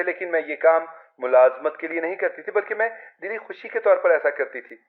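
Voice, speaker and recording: A man's voice: fairly high pitch, narrow, tinny audio. A man narrating a scripted first-person story.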